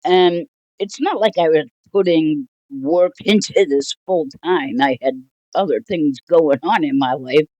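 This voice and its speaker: Slow, halting older woman telling a story. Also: low-pitched, warm tone, no room sound, dull audio. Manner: rambling, halting, wryly amused with frequent chuckles. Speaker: storytelling vlogger. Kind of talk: casual personal storytelling.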